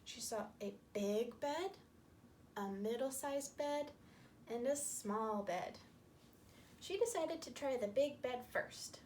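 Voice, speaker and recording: Slow, high-voiced woman telling children's stories. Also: a little mellow, a little hiss or hum. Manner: slow, repetitive, emphatic, explaining to small children. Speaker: children's storyteller. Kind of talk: story time for young children, narrating with puppets.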